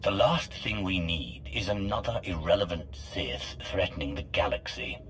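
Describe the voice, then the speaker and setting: A man's voice: deep voice, moderate pace, a bit bright, a little hiss or hum, poor audio. A man playing a resolute Sith commander in a scripted video game, terse and controlled. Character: resolute, commanding male Sith Alliance leader.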